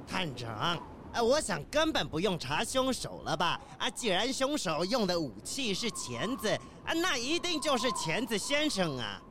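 A man's voice: sits high, huge pitch swings, moderate pace, few pauses, sharp tone, slight background noise, full character voice. A man playing a fussy, self-righteous kiwi bird professor in a children's audio drama, pedantic and defensive. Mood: insistent. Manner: pedantic, indignant, hesitant interjections.

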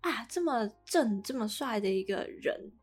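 Taiwanese woman co-hosting over soft music. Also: a little high, expressive, moderate pace, breathy edge. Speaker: podcast co-host. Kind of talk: casual chatty discussion.

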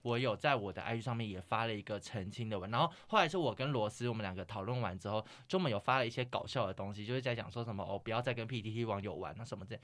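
A young man with a Taiwanese Mandarin accent chatting casually as a podcast host, telling stories in an animated way with English words mixed in. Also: on the low side, racing, hardly any pauses. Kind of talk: casual anecdote chat.

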